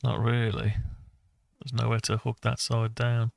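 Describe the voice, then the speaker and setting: A man's voice: mid-range voice, little pitch movement, a little slow, vocal fry, dry recording. A man giving a casual design walkthrough, thinking aloud in a hesitant, musing way as he talks through his ideas. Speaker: presenter of a hobby design project video.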